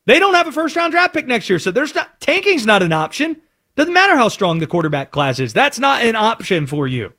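A man with an American accent hosting sports talk in an emphatic, animated way, often asking rhetorical questions. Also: very high-pitched, fairly quick. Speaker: sports talk show host.

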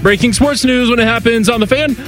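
Brisk male radio sports announcer. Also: extremely high pitch, soft background music. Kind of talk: scripted news read and ad.

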